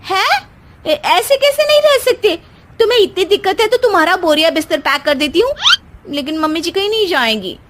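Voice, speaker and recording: A woman's voice: very high voice, lively intonation, fairly quick, slight background noise, pitched above the performer's own voice. A woman voicing a feisty, grumbling young daughter-in-law in a cartoon, whiny, indignant and melodramatic. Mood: shocked protest.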